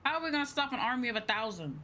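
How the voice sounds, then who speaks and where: A young woman chatting casually on a game livestream, rambling, expressive and informal, swearing freely. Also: fairly high pitch, rapid-fire, a little hiss or hum. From outside Japan. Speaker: VTuber game streamer. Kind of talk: casual livestream chat over a video game. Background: game music.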